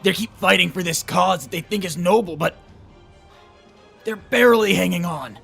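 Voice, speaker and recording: A man playing an earnest, anxious young hero in an animated fantasy war story, speaking in an emphatic, halting way. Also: high voice, creaky, soft background music, heavily acted. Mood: desperate pleading. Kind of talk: animated fantasy character acting.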